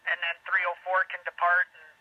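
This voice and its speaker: Yard worker, man, brisk and practical, radio-muffled, voice sits high. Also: monotone, husky. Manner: clipped, practical instructions with fillers. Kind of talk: terse rail radio traffic. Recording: muffled scanner radio audio.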